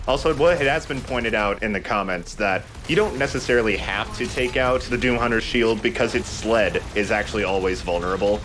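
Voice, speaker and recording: A man's voice: on the low side, brisk, few pauses, fairly bright tone, slight background noise. A man giving casual, conversational video game commentary as a let's play host, explaining as he goes. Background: game music.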